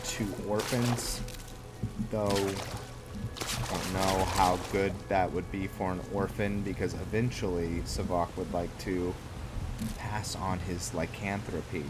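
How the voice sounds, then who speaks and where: A man giving casual, hesitant gameplay commentary, thinking aloud as he goes. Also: low voice. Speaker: gaming video host. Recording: background music under close commentary.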